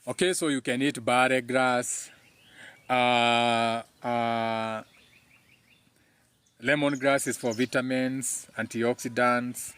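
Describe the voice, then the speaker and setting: A man's voice: mid-range voice, little pitch movement, slow, a bit bright, clear, resonant tone. A man with a Kenyan accent and Kikuyu as his first language, speaking informally as the host of a live talk, emphatic and preachy, often repeating his phrases.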